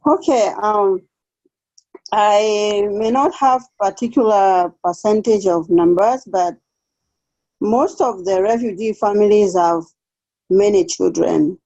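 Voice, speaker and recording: A woman from Kenya with a Kenyan accent, a case aide speaking carefully with pauses and restarts as a guest in an online seminar interview. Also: medium pitch, mellow. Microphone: video call. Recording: dull lo-fi video call audio.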